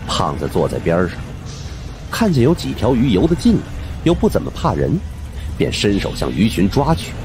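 A man's voice: fairly low pitch, huge pitch swings, normal speed. A man narrating supernatural suspense fiction as a dramatic audiobook reader. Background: background music.

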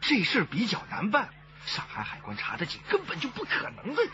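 An actor playing a slippery, flattering and excitable crony in a radio drama. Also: male voice, high-pitched, brisk, muffled recording, background noise. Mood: alarmed, evasive.

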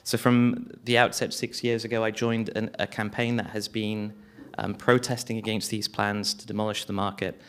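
A man's voice: lowish voice, level tone, moderate pace, hardly any pauses, a bit bright, clean tone. Hesitant young man on a panel. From London. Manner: hesitant, many restarts and ums. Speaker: community campaigner. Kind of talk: panel discussion. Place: small hall, on stage. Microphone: handheld mic.

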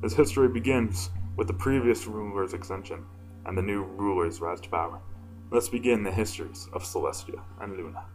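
A man with a deep voice reading fan fiction casually, informal and self-deprecating, stumbling now and then. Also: a little fast, a little mellow, soft background music, rough recording. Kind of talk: casual amateur story reading with asides.

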